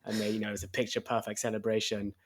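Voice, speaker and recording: Man with a cold, nasal and flat. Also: on the low side, rapid-fire, breathy edge. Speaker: podcast co-host. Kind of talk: casual chat.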